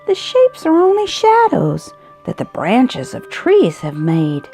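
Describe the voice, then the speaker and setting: A woman reading a children's picture book aloud, expressively, with drawn-out emphasis on key words. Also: a little high, wildly swooping pitch, dark tone. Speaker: storybook reader. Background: music.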